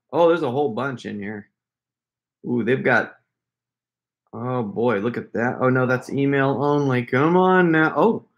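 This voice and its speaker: A man in his forties with an American accent, chatting casually to a camera in a halting, half-muttering way. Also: fairly high pitch. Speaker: prank caller and video host. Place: home room with wood shelves, indoors. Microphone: desk camera at arm's length.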